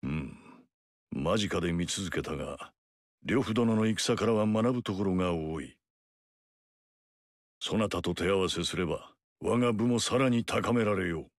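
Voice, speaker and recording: An actor playing a dignified samurai general in a video game, speaking with formal, measured courtesy. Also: male voice, low-pitched, dry recording, clean studio sound. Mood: earnest. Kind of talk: video game story dialogue.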